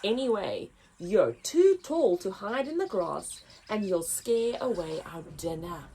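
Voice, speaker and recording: A woman's voice: medium pitch, very animated, slow pace, background noise, voice pushed down. A woman voicing a majestic, dismissive lion with an emphatic, haughty manner, in a children's storybook reading.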